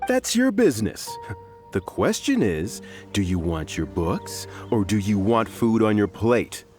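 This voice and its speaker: A man's voice: lowish voice, very animated, a little slow, darkish tone, faint music behind. An actor playing a bullying, sneering henchman in a video game, talking in a menacing, mocking way. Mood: threatening.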